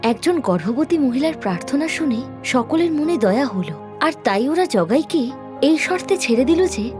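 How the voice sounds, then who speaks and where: A woman's voice: sits high, big pitch swings, normal speed, music in the background. A woman narrating a scripted moral story expressively, as a storyteller.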